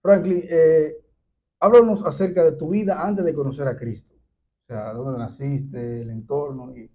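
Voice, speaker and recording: Mellow, higher-pitched Dominican man hosting. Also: fairly even intonation, unhurried, a little hiss or hum. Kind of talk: scripture reading and interviewing. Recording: dull, muffled lo-fi sound.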